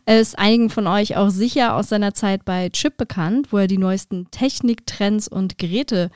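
A woman's voice: mid-range voice, normal speed, clear, resonant tone, dry recording. Upbeat woman hosting a podcast, dry sound. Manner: upbeat, lively welcoming tone. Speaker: podcast host.

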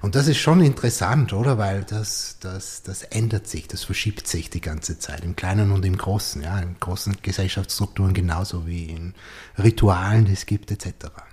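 Man, podcast host; low voice, probing and rambling questions, lots of backchannel murmurs. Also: moderate pace, a little mellow. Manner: probing questions, frequent "oder?" and "mhm" backchannels, repeats words. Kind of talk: conversational interview.

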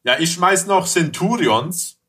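A man with a bright, forward voice chats on a casual podcast. He tells things in an animated, playful way, as if recounting favorites among friends. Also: high voice, a little slow, slightly rough. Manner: animated storyteller, acts out mock quotes and sound bits. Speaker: podcast panelist. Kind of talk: casual podcast chat about childhood cartoons.

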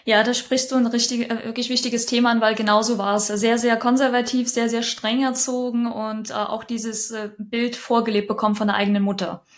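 A woman's voice: a little high, flat delivery, a little fast, hardly any pauses. A woman in her thirties, born in Ukraine and long living in Germany, a startup coach answering interview questions in a friendly, talkative way and laughing easily. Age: 30s. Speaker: podcast guest, startup coach.

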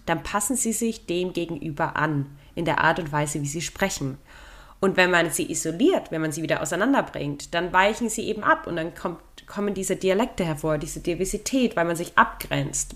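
Podcast lady with a lowish voice, explains stuff, laughs at her own jokes. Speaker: podcast co-host. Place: living in London.

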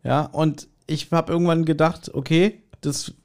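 A man's voice: a little high, steady tone, unhurried, darkish tone. Bantering German man, podcast co-host. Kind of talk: casual bantering podcast chat.

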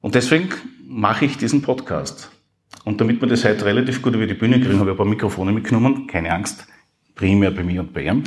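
Low-voiced male podcast host, first live show, nervous and rambling. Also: fast. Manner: nervous, rambling, self-deprecating. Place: university hall before a live audience.

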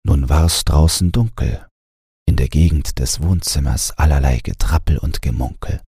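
A man reading a scripted bedtime audiobook in a calm storytelling style. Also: extremely low pitch, moderate pace, husky, no room sound, clean studio sound. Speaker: audiobook narrator.

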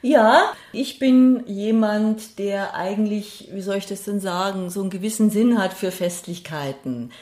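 A woman's voice: medium pitch, unhurried, few pauses, darkish tone, reverberant. A middle-aged woman, talking reflectively in an interview and searching for words as she goes. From Bavaria, Germany. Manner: thoughtful, searching for words, seeks agreement with short tag questions. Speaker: interview guest, singer and grief singing-circle leader.

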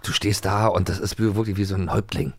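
A man's voice: low voice, level tone, fast, darkish tone, no echo, studio quality. A man from former East Germany co-hosting a podcast, chatting enthusiastically in loose, restarting sentences. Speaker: podcast co-host. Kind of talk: casual chat about a children's musical.

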